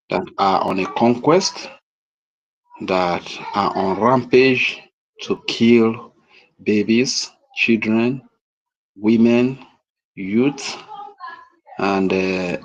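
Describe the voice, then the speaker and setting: A man with a Nigerian accent giving an impassioned political address, speaking in a deliberate, emphatic, repetitive way with rhetorical questions. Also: on the low side, poor audio.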